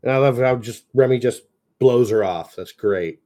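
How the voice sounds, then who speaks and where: A man's voice: mid-range voice, moderate pace, warm tone. Chatty man giving upbeat feedback. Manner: enthusiastic, chatty, frequent fillers and laughs. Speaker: editor reviewing a story draft. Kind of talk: casual chat while reading aloud.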